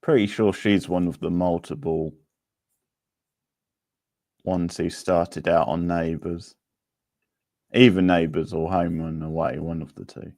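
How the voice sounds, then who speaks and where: A man with a low, creaky voice hosts a quiz in a casual, conversational way. The sound is dry and close, with a gravelly edge to his relaxed chat. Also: a little slow, pauses often, no room sound. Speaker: quiz host.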